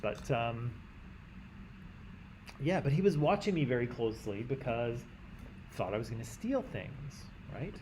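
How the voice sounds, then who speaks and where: A Canadian man teaching a class in a conversational way, often checking in with "right?" and clearing his throat. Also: medium pitch, very animated, a little slow, long pauses, a little mellow, slight background noise. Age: adult. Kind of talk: classroom lecture.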